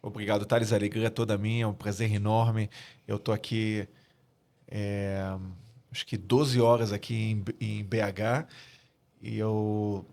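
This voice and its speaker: Low flat-voiced Carioca man. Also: creaky. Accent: Rio de Janeiro Brazilian Portuguese. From Brazil (Rio de Janeiro). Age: 40s. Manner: measured, earnest, frequent hesitations. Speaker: guest, military spokesperson. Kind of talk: podcast interview.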